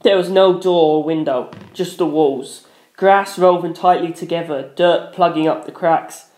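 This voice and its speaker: Higher-voiced man narrating dramatically, roomy sound. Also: steady tone, a little slow, a little mellow. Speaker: audiobook-style narrator. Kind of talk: dramatic first-person story narration. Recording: roomy echo.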